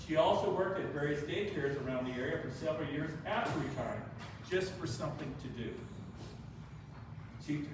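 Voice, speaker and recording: A man reading a prepared life story aloud as a birthday tribute, often stopping and restarting words. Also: fairly high pitch. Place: birthday celebration in a large room. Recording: echoey room, far from the mic, clear background noise.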